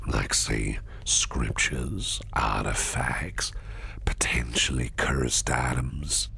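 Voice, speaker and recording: A man playing a shady businessman boss in an ASMR roleplay, flirtatious and protective, murmuring in a whispery gravelly voice with slow, teasing deliberation. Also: extremely low pitch, pushed and bright, heavily acted. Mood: reassuring, sly. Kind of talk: ASMR roleplay, close personal attention.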